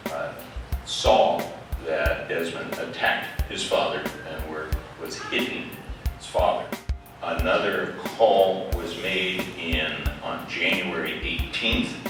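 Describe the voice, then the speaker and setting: A man giving a halting, deliberate public briefing as an official presenting case facts. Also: low voice, steady tone, music in the background. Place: community center meeting room, far from camera mic. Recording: echoey hall, distant mic, background noise.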